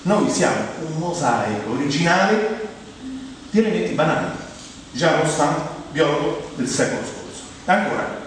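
A man's voice: fairly high pitch, expressive, moderate pace, slight background noise. Roman man performing in a very echoey hall. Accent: Roman Italian. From Rome, Italy. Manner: conversational, colloquial, with rhetorical questions and asides. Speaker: stage monologist. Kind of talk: humorous theatrical monologue. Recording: very echoey hall, distant mic, music. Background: plucked guitar music.

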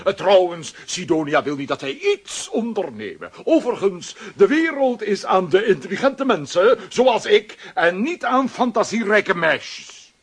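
A man's voice: high-pitched, expressive, unhurried. A man voicing a pompous, self-important older know-it-all in a children's radio drama, brushing things off. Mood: scoffing.

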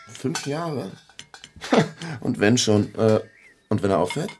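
A young boyfriend with something to hide in a radio drama. He talks in a medium-pitched voice, naturalistic and hesitant. His manner is evasive, flippant and needling. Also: animated, slow pace, a little mellow, slight background noise. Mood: probing, evasive.

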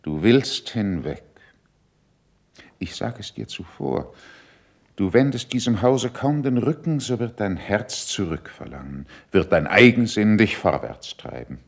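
An actor playing a measured, worldly, admonishing senior courtier in a classical verse radio drama, speaking gravely with a gravelly voice. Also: male voice, on the low side, big pitch swings, full character voice. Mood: grave warning. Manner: deliberate, grave, weighty declamation.

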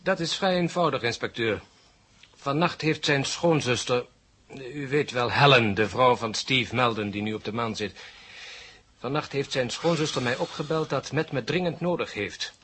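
An actor playing a suave, loyal stage magician and hypnotist, coolly menacing and commanding, in a 1970 radio drama. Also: male voice, mid-range voice, sharp tone, slightly rough. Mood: cool, assured. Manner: self-assured, deliberate, commanding.

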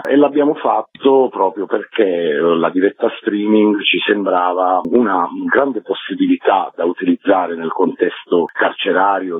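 A man's voice: low voice, slightly rough, no room sound. A man being interviewed as a theatre director, explaining things at length over a telephone line. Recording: muffled narrow telephone line on radio.

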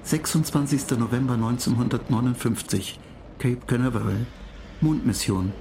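An actor in a German radio play voicing a cool, superior computer mind, reciting in a detached, measured way. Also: male voice, mid-range voice, dark tone, slight background noise, natural voice. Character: cool, superior computer mind lecturing human space travellers. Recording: music behind the voice.

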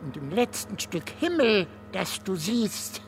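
A morbid old goatherd near death in a radio play. His thin, frail voice creaks heavily and moves very slowly, brooding and fatalistic. Clear background noise surrounds him. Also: high voice, big pitch swings, heavily acted. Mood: grim, brooding. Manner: slow, brooding, ominous. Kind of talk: radio drama acting.

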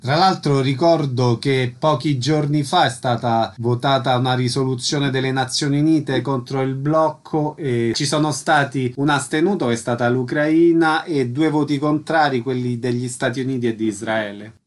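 A male radio host who reads out the show credits and then interviews a guest in a clear, announcer-like way. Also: medium pitch, steady tone, moderate pace, hardly any pauses.

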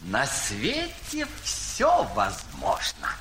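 Sly disguised ruler, probing, very lively pitch, roomy noisy sound. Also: male voice, drawn-out words, exaggerated voice. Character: caliph disguised as a curious wandering dervish. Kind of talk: audio fairy tale acting.

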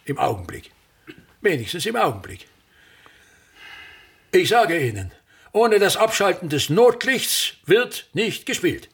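Raspy, pompous theatre impresario. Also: male voice, sits high, big pitch swings, fairly bright tone, full character voice. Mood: irritated, disdainful. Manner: declamatory, repetitive, querulous tirades with emphatic pauses. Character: pompous, embittered ageing male theatre impresario ranting at everything. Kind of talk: German-language radio play acting.

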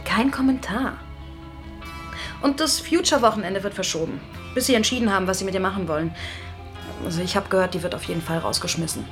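A woman's voice: medium pitch, quick pace, over music, natural voice. An actress playing a shrewd, wry and gossipy female deputy boss in natural radio drama dialogue. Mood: gossipy, teasing.

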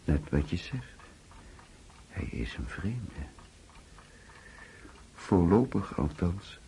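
A man's voice: deep voice, sing-song, very slow, warm tone, slight background noise, exaggerated voice. A man playing a wary, doubting middle-aged father in a Dutch radio play, probing and insistent. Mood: sleepy, cautious.

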